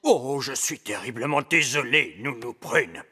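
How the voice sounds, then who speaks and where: A man's voice: medium pitch, a little slow, slightly rough, full character voice. A man voicing a jolly old elf who loves pranks, speaking in a theatrical, chuckling cartoon manner. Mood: charming. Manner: theatrical, flattering, chuckling delivery. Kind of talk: animated cartoon dub.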